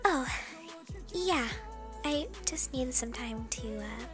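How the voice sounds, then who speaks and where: A woman acting an anxious, flustered young woman who speaks haltingly in an animated roleplay drama. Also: on the high side, music in the background. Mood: troubled, thoughtful. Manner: halting, stammering.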